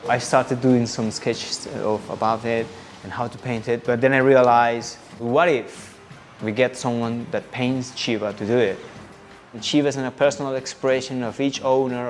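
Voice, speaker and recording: A man from Colombia with a Colombian accent and native Spanish, explaining his design work haltingly, searching for words. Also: mid-range voice. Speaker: bicycle designer. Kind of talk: casual explanatory interview.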